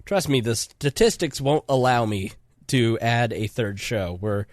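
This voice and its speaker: A man's voice: medium pitch, a little slow, dry recording, clean studio sound. Congested man hosting a podcast. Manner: rambling, self-interrupting, chatty. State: congested from a cold. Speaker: podcast host. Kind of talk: casual podcast chat.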